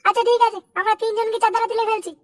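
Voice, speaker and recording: A performer voicing an excitable, cheeky young male sidekick in a cartoon comedy, chattering eagerly. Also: very high voice, very fast, no echo, far from the mic. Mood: excited.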